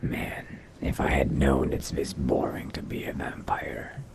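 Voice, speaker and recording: Man putting on a very deep, gravelly, whispering vampire. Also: monotone, a little mellow, heavily acted. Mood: musing, subdued. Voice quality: breathy, gravelly half-whisper. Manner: slow, musing to himself. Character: male vampire adventurer, pensive, solitary, quietly resourceful. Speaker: player role-playing in a video game. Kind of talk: in-character game roleplay monologue.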